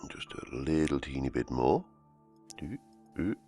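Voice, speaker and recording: A man, croaky from a cold, hosting casual gameplay commentary and rambling as he plays. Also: rumbling low, expressive, measured pace. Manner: rambling, self-correcting, humming to himself. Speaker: let's-play host. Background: soft background music.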